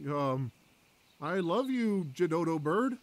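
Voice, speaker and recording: Overbearing cartoon father, male actor: high, whiny, flustered, animated delivery that jumps around in pitch. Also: slow pace, slight background noise. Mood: anxious, doting. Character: clueless, overbearing cartoon dad, awkwardly affectionate. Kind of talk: cartoon parody voice acting.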